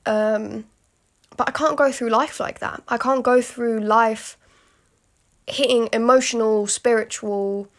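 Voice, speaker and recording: British woman, late teens, podcast host; gravelly fry, rambling candid delivery with lots of fillers. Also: a little high, fairly even intonation, slow pace. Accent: British English. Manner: rambling and candid, with many fillers and frequent asides to the listener. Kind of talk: casual solo podcast monologue.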